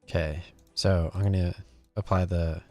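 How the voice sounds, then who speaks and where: A man's voice: low-pitched, level tone, normal speed, mellow, soft background music. A man hosting a gaming video, giving casual gameplay commentary and thinking aloud in a rambling way.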